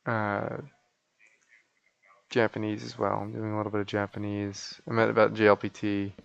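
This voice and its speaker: A man's voice: on the low side, completely flat delivery, normal speed, gravelly, fairly bright tone, smooth, lo-fi sound. A sleepy man chatting casually and in a rambling, folksy way as he live-streams a game.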